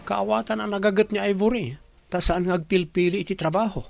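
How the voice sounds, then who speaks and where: A man's voice: high-pitched, a little fast, vocal fry, soft background music. A man playing the boss's earnest friend, persuading him in a radio-style drama. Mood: pleading.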